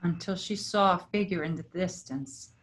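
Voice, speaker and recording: A woman playing a tender, resolute lost beloved in a staged play reading, earnest, gentle and deliberate. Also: fairly low pitch, close to an everyday voice, poor audio. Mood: solemn.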